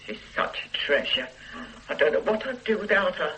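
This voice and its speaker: A woman's voice: on the low side, big pitch swings, normal speed, sharp tone, a little hiss or hum, rough recording. A woman playing a lonely, complaining elderly mother in a radio drama, self-pitying and reproachful. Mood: plaintive. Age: older. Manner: self-pitying, guilt-tripping.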